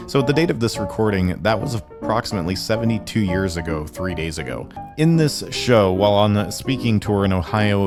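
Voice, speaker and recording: A man hosting a show, reading a scripted introduction to old radio programs. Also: sits low. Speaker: podcast host. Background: guitar music.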